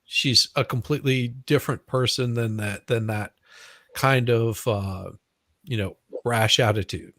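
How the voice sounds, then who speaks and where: A man with a Southern US accent in casual live stream chat, talking in a halting, reflective way. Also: medium pitch, fairly even intonation, a bit bright, no room sound.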